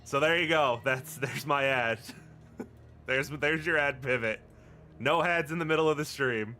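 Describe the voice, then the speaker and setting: A man's voice: mid-range voice, a little slow, sharp tone, soft background music. A man hosting a game livestream, chatting casually in a rambling, joking, sweary way. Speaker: game streamer, host.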